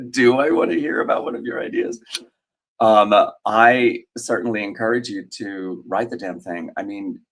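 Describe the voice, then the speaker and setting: A man's voice: lowish voice, measured pace, vocal fry, a bit bright. Rambling man hosting a livestream. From Australia. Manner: rambling, hesitant, many fillers and restarts. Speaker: host. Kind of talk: casual livestream chat, reading viewer comments.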